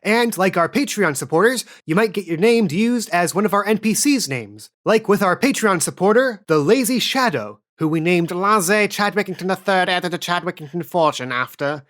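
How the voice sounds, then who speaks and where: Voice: medium pitch, lively intonation, normal speed, few pauses, fairly bright tone. A game master hosting a podcast, narrating and announcing in a playful, jokey, casual way.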